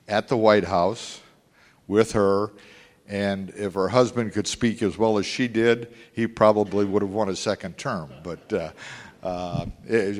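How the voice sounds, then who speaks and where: An older man recalling his business career in a halting, rambling way with many fillers. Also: on the low side, long reverb tail. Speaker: former industry executive. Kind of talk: oral history interview.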